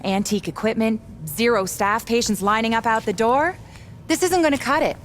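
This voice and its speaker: A woman's voice: fairly high pitch, moderate pace, a little hiss or hum, natural voice. An actress playing a brusque, sardonic woman doctor and driven virus researcher in a video game cutscene. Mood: wry, exasperated. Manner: sarcastic, blunt, impatient.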